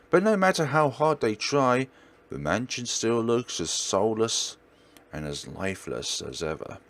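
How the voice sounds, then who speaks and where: A man's voice: lowish voice, vocal fry. A man hosting a let's play, reading a visual novel aloud in a theatrical way and sometimes stumbling and rereading words. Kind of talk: dramatic read-aloud narration of a visual novel.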